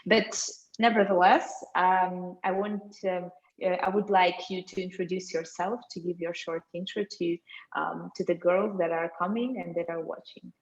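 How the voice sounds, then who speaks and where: A woman hosts an online meetup over slightly dull, lo-fi audio. Her voice sits a bit low for a woman, and she talks hesitantly, restarting and repeating words as she goes. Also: steady tone, normal speed. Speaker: host. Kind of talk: spoken introduction of a guest.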